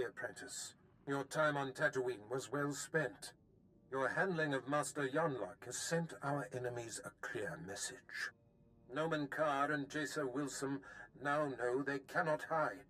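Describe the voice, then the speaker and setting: An actor playing an imperious, scheming Sith lord and mentor in a video game, formal, deliberate and commanding. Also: male voice, medium pitch, a bit bright, natural voice, low-quality recording. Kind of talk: scripted video game acting.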